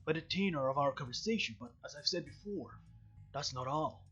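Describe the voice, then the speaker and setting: A man voicing a stern, weary police chief in a throaty, strained voice, measured and formal, reading visual novel dialogue. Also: a little high, expressive, fairly bright tone, breathy edge, highs cut off, faint music behind. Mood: grave, firm.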